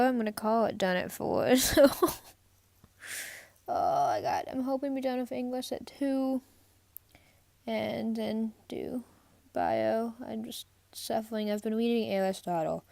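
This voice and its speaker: A woman's voice: medium pitch, creaky, a little mellow, no echo. A young woman, a college student, chatting casually to her camera as she goes through her day, in a rambling, self-narrating way. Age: young adult. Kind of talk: casual vlog chat. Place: in her car and around campus.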